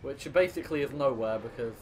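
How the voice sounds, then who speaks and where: A man's voice: medium pitch, brisk, a little mellow, a little hiss or hum. A young man giving casual gameplay commentary in a rambling, exasperated way, with joking complaints and mild swearing. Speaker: gaming video host. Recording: game sound clearly behind voice. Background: video game action sounds.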